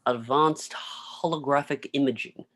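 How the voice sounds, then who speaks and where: A man's voice: mid-range voice, steady tone, moderate pace, fairly bright tone, lightly acted. A man reading the part of an earnest older tycoon in a wheelchair at a script table read. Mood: grave, explanatory. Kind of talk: video-call table read of film script.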